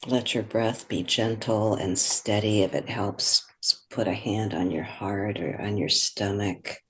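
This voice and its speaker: A meditation guide speaking in a gentle, soothing, unhurried way, giving slow instructions with long pauses. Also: low voice, steady tone, a little hiss or hum.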